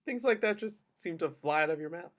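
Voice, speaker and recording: A woman acting an earnest, gently persistent male suitor in an amateur romantic comedy read-through, tentative and polite. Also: fairly low pitch, expressive, brisk, natural voice. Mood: subdued, sheepish.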